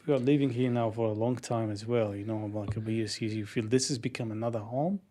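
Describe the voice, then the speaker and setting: A man in a casual podcast conversation who speaks haltingly, often restarting his sentences. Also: on the low side, fairly even intonation, warm tone.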